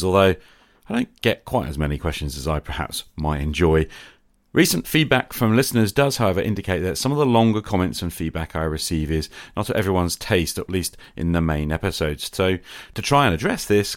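A man's voice: sits low, no room sound, clean studio sound. A man speaking to listeners as the host of a podcast.

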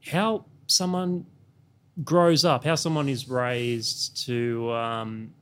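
A man's voice: medium pitch, fairly even intonation, slow. Male co-host, plain mid voice, stumbles and repeats words. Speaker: podcast co-host. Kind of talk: casual chat.

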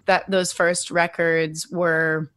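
A woman's voice: on the low side, level tone, slow pace, a bit bright, clean tone, dry recording. A young woman from Texas interviewing a fellow musician, asking thoughtful, probing questions. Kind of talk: conversational interview.

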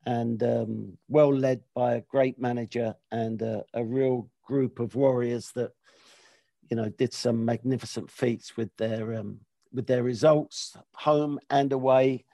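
A man hosting a podcast with a gravelly, mellow voice. He talks in a loose, rambling way, full of hesitations and restarts. The sound is dry and close to the mic. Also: medium pitch, fairly even intonation, a little slow, dry recording. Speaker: podcast host. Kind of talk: casual chat.

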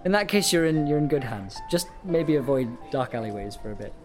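A man playing a calm, friendly helper of spirits in an audio drama, talking in a patient, conversational way. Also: on the high side, a little fast, music in the background, close to an everyday voice. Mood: reassuring. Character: friendly, calm, reassuring male spirit-helper and cat owner. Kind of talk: naturalistic audio drama acting.

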